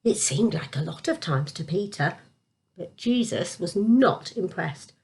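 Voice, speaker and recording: A woman reading a scripted Bible story for children, with deliberate emphasis. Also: fairly low pitch, expressive, gravelly, darkish tone.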